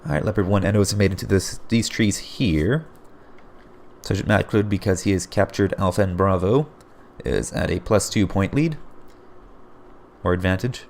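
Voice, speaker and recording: A low, gravelly man with a hoarse edge calls a game replay play by play. He keeps jumping in with surprised exclamations. A faint hiss sits under his voice. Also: normal speed. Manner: excitable, frequent surprised exclamations. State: hoarse, voice getting raspy. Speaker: game caster.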